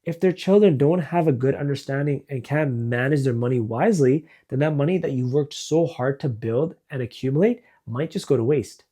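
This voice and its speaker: A man in the finance industry talks viewers through common money mistakes in a steady, friendly way. His voice sits at a middle pitch with a dark, relaxed warmth. He explains each point patiently, like a one-on-one advice session. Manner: friendly explanatory, walks through numeric examples. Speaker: financial advisor. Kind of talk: direct-to-camera advice video.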